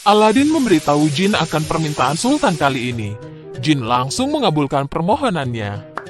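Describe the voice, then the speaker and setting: A man narrating a scripted fairy tale for children in an expressive, deliberate storytelling manner. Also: a little high, over music.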